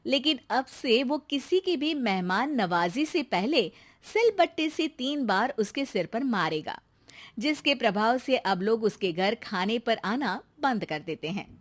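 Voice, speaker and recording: A woman tells a scripted story in a high voice. Her intonation moves in animated swings as she narrates. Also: measured pace.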